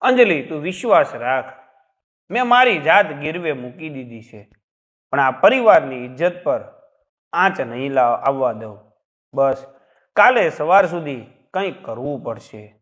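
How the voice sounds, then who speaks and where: A man's voice: a little high, lively intonation, a little slow, long pauses, fairly bright tone. A man voicing a worried, secretive married man in a story, speaking in an urgent, anxious, heavy way. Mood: anxious, hushing.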